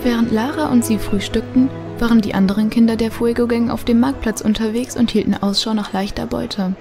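A woman's voice: on the high side, fairly even intonation, brisk, over music, natural voice. A woman narrating a children's mystery audio drama in a scripted, suspenseful storytelling manner. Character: female storyteller of a children's mystery radio play.

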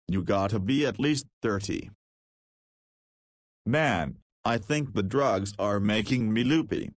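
Visual novel lead: crude nineteen-year-old wise guy, slow rambling delivery, dry close recording. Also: fairly low pitch, no echo. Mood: amused, rambling. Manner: rambling, wry, slangy.

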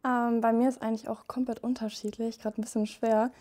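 A woman's voice: on the high side, little pitch movement, fairly quick, warm tone. An eighteen-year-old woman chatting hesitantly about herself. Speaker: contestant. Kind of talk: casual chat.

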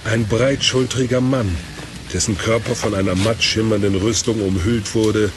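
A man's voice: on the low side, fairly even intonation, moderate pace, background noise, lightly acted. A man reading scripted narration for a fantasy audio drama.

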